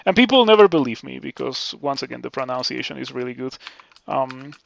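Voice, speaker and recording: Mexican man with a gravelly voice. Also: mid-range voice, expressive, a bit bright, highs cut off. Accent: Mexican Spanish. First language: Spanish. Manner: measured, filler-heavy thinking aloud. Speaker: game streamer. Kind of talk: live gameplay commentary.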